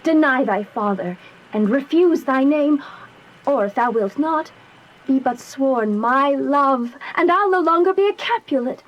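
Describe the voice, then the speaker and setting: An actress as a young Shakespearean maiden in love. Her voice is high and youthful, and she takes the verse very slowly. She sounds earnest, yearning and a little anxious, in the manner of romantic radio drama. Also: expressive, dull audio. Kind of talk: slow dramatic verse acting.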